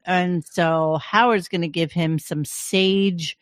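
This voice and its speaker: A man's voice: sits high, steady tone, slow, fairly bright tone, no room sound, clean studio sound. A man hosting a podcast that recaps a radio show, telling the story in a crude, meandering, casual way. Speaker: podcast host. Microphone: headset or desk mic on a live stream.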